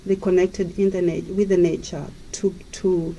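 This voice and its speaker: A woman from Kenya, a life coach, telling her story as a guest in a radio interview in a gentle, storytelling way. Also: on the low side, level tone, normal speed, a little hiss or hum, low-quality recording.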